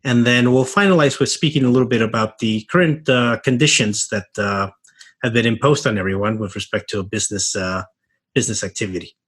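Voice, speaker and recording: A man hosting an interview, introducing his guest in a measured way with frequent fillers. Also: medium pitch, fairly bright tone, husky. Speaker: interview host.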